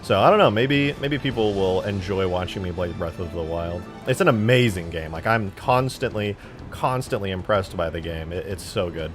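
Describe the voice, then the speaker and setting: A man's voice: low voice, moderate pace, soft background music. A man in his twenties chatting casually on a live stream while modding a game, rambling, joking and swearing freely. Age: 20s.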